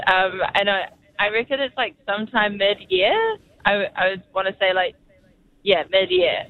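A woman's voice: medium pitch, lively intonation, moderate pace. A woman musician, a guest calling in, talking casually and hesitantly. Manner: casual, hesitant, lots of fillers. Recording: phone line.

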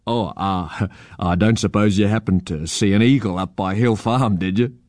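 A man's voice: on the low side, normal speed, darkish tone, no room sound, natural voice. Friendly small-town constable uncle male storyteller acting, casual and amused, dry close sound. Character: genial, grinning uncle who is a police constable.

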